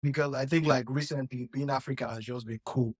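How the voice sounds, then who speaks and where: Young nigerian-born guy on a podcast, mid pitch, dry close mic, earnest and reflective, lots of "like"s. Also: fairly even intonation, fairly quick, breathy edge, no room sound. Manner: earnest, reflective, many "like" fillers. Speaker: podcast co-host. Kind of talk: casual chat.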